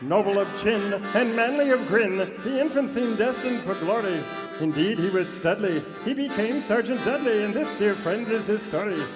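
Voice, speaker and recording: A man's voice: high voice, animated, normal speed. A man playing a pompous, dim, heroic Canadian Mountie in comic radio theater, declaiming grandly and saying "eh". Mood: grandly declamatory. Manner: tags sentences with "eh", declaims verse grandly. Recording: live stage hall reverb, music behind.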